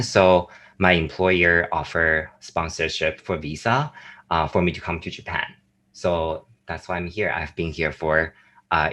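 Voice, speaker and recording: Bright-voiced young man, Chinese accent. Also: sits low. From China. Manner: chatty, lively, many fillers and restarts. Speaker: guest, YouTuber. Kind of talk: casual chat on a video call. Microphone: video call.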